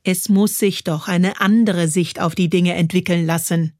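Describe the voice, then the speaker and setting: A woman performing a literary spoken-word piece in a measured, poetic delivery. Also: fairly low pitch, steady tone, a little mellow, no room sound, studio quality. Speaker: poetry performer. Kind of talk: literary spoken-word reading over music.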